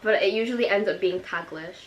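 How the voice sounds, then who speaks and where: A woman's voice: medium pitch, a little fast, muffled recording, a little hiss or hum. A young woman, chatting casually while eating and chiming in with short, laid-back remarks. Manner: laid-back, brief interjections. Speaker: vlogger, younger sister.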